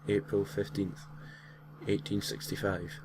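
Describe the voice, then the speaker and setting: A man with a deep voice reads a creepy tale in an amateur narration style. His delivery stays flat, with the odd stumble and self-correction. The home recording has clear noise behind him. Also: a little slow. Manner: stumbles over words and corrects himself. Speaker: host reading creepypasta stories. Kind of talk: reading a creepy story aloud, amateur narration.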